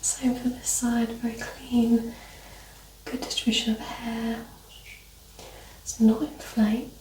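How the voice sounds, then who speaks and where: A woman speaking softly and partly in whispers as a doctor in an ASMR medical exam roleplay, giving gentle, reassuring instructions. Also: a little high, little pitch movement, slow. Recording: roomy, distant mic, clear noise and clicks.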